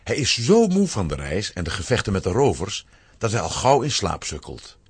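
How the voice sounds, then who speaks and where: A man narrating a scripted children's audio play as an expressive storyteller. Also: fairly low pitch, moderate pace, husky, no echo.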